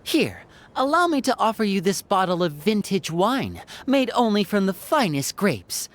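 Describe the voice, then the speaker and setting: A woman's voice: mid-range voice, sing-song, normal speed, lightly acted. An actress voicing a composed young female adventurer in a game, speaking politely and formally.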